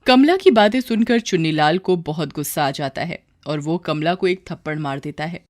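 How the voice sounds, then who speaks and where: A woman's voice: low voice, lively intonation, normal speed, darkish tone, no room sound, studio quality. A woman storyteller giving scripted narration of a story.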